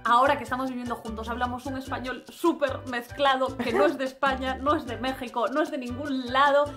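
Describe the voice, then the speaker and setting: A young woman from Spain with a Spanish accent chats to the camera in a small indoor room. She talks in an animated, expressive way, with a fairly high voice, and faint music plays underneath. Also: fairly quick. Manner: animated, expressive, gestures a lot. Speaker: travel vlogger. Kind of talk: casual vlog monologue.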